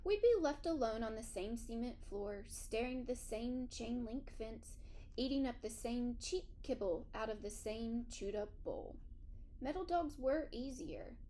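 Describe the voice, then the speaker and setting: A woman reading a children's story aloud in an expressive first-person narration. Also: fairly high pitch, measured pace, a little hiss or hum. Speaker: audiobook reader.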